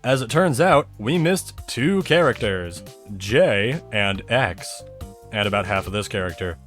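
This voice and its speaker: Man narrating a technical explainer, animated intonation. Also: fairly low pitch, a little slow, soft background music. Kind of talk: scripted explainer narration with casual asides.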